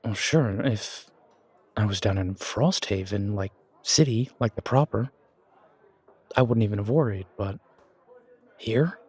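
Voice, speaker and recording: Man playing a low-voiced, self-doubting young-at-heart chef. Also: a little slow, pauses often, slightly rough. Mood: worried. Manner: casual, hesitant, slangy. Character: self-doubting male chef, casual dreamer opening his restaurant. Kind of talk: fan audio roleplay acting.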